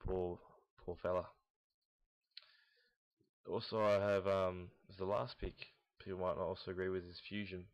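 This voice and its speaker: Deep flat-voiced young man, dull echoey recording. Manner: rambling, halting, blunt, casual swearing. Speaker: host of a gaming team audio log. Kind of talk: casual unscripted commentary on esports team predictions. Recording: dull lo-fi, roomy echo, mouse clicks.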